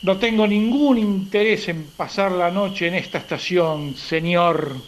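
A man's voice: high voice, unhurried. A man playing a grumpy, impatient traveller in a radio play, curt and exasperated, often repeating himself. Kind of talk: amateur radio play acting. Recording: faint hiss with night crickets. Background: crickets, night ambience.

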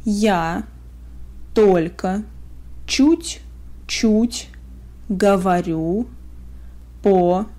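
Russian-accented woman tutor, mellow voice, very slow deliberate drilling. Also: medium pitch. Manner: word-by-word, carefully spaced pronunciation. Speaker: language teacher. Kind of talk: slow phrase drills for learners.

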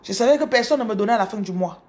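A woman in her thirties from Cameroon with a Cameroonian French accent, telling her story to her followers in an animated, casual way, speaking close to a phone in a small room. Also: on the high side, rapid-fire. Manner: animated, repeats words, addresses viewers directly. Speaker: business coach. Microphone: phone camera held close.

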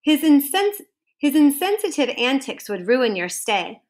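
Woman storybook reader for schoolchildren: higher-pitched, very animated, sing-song and deliberate. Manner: sing-song, deliberate, drawn-out phrase endings. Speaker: teacher reading aloud to students. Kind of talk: expressive children's storybook read-aloud. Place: home kitchen, indoors.

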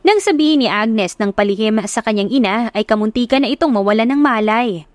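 A woman narrating a romance audiobook in a steady storytelling voice. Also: fairly high pitch, brisk, no echo.

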